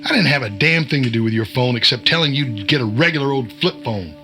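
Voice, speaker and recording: Audio drama: folksy, wisecracking insider-cook played by a man, bright forward voice, chiding and cryptic. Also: medium pitch, expressive, fast, soft background music. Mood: irritated, defensive. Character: cagey, wisecracking male veteran insider and short-order cook.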